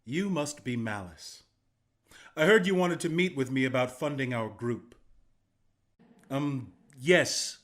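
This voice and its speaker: An actor playing an earnest, friendly young rebel leader in a video game, speaking in a sincere, conversational way. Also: male voice, medium pitch, animated, slow pace, long pauses, fairly bright tone. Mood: wary, negotiating. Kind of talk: video game character dialogue.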